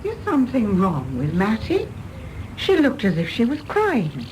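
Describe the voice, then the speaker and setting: Genteel, expressive British society woman. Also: fairly high pitch, a little slow, a little hiss or hum, rough recording. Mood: concerned, wistful. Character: sympathetic society woman with a scandalous past, candid. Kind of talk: British radio play acting.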